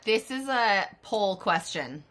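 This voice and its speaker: A woman in her thirties from California, co-hosting a casual podcast, chatty and animated and swearing casually. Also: medium pitch, takes it slowly. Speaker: podcast co-host. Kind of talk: casual chat.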